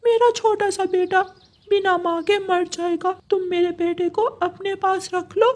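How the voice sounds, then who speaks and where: A woman's voice: extremely high pitch, fairly even intonation, unhurried, darkish tone, pitched above the performer's own voice. A woman voicing a devoted young father parrot with a pleading, plaintive manner in a children's cartoon story.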